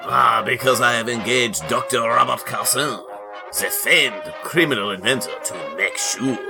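A man's voice: medium pitch, unhurried, gravelly, over music. A man voicing a gloating French crime syndicate boss with a put-on French accent, in a comedic comic-book reading.

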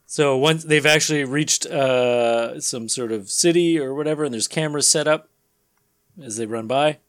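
A man's voice: mid-range voice, normal speed, fairly bright tone. A man hosting a comedy show, mixing jokey casual banter with dramatic comic narration. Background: music.

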